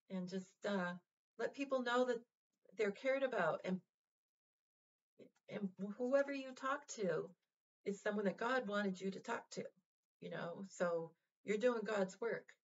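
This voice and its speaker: A woman of middle pitch talks straight to her viewers, gentle and reassuring. She pauses often and restarts her phrases. The recording sounds dull and lo-fi. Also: breathy edge. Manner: halting, full of fillers and restarts, gentle and reassuring. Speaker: vlog host. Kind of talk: casual heartfelt address to viewers.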